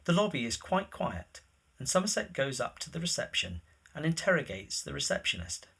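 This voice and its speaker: Male narrator for scripted drama: forceful, raspy, mid-pitched, reads scene directions. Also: big pitch swings, fairly quick.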